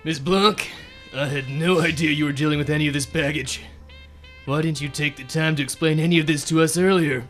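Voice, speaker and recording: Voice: mid-range voice, fairly even intonation, brisk, close to an everyday voice. A young performer playing a pompous, exasperated detective boss in a comic radio serial, wordy and indignant. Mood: resolute. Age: young adult. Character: pompous hard-boiled detective boss, exasperated and self-important. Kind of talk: comic radio serial acting with noir narration. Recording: guitar music behind the voice.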